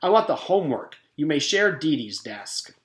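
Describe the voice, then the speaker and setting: A man's voice: fairly high pitch, sing-song, moderate pace, voice pushed up. A man voicing a stern, bossy woman teacher with strict, commanding speech in a children's storybook. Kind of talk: children's storybook read-aloud. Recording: echoey room.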